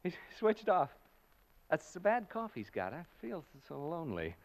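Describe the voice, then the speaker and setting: An actor playing a frightened American broadcaster unraveling in a haunted house, rambling and stammering in 1940s radio suspense style. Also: male voice, on the high side, wildly swooping pitch, moderate pace, gravelly, heavily acted. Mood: lonely, unnerved. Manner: rambling, stammering, nervous laughter. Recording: dull, lo-fi vintage radio recording.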